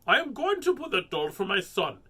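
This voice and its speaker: An excitable male shopper from a storybook, acted by a man. He exclaims loudly in a high, bright, wildly swinging voice. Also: brisk, husky, heavily acted. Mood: eager. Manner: loud, exclaiming. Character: excitable male shopper, gushing then terrified.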